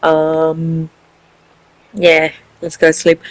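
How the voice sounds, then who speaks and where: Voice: medium pitch, monotone, extremely slow, no echo, poor audio. A gaming video host giving casual, rambling, informal commentary on a playthrough, thinking aloud.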